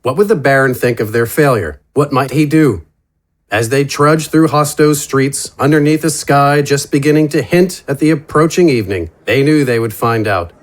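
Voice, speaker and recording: A man's voice: medium pitch, moderate pace. A man reading scripted fantasy narration in a dramatic storytelling style.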